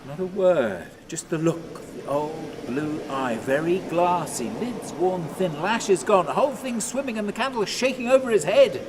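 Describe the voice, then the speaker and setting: An actor playing a haunted, tormented older man in a radio play monologue, speaking in halting fragments that move between hush and anguish. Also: high voice, expressive, background noise. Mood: hushed, brooding. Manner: halting, fragmented, swinging from hushed to anguished cries.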